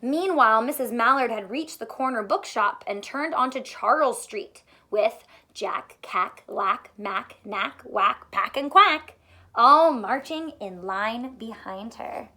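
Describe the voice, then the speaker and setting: A woman's voice: a little high, animated, slow pace, bright, forceful tone. A woman teacher reading a storybook aloud to young children, expressively, with questions and asides spoken straight to them. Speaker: elementary school teacher reading aloud to students.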